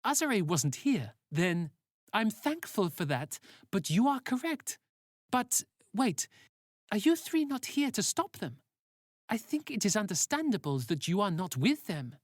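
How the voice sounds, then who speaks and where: Narrator doing a high-pitched, pleading teenage boy. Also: very animated, measured pace, no echo, studio quality. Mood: insistent. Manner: earnest, pleading, insistent. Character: rebellious, desperate teenage boy pleading for his sister.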